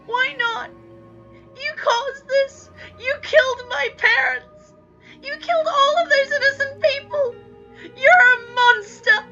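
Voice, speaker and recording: A woman's voice: fairly even intonation, takes it slowly, dull audio, soft background music, heavily acted. A woman voicing a distraught, defiant young girl in a dramatic story reading, crying out as she speaks. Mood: furious. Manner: high, crying, shouting.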